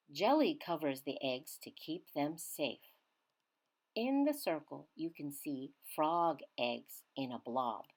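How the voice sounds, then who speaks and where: Slow, expressive woman reading to children. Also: low-pitched. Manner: teacherly, simple expressive phrasing with emphasized key words. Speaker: children's read-aloud reader. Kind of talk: scripted read-aloud of a children's science book.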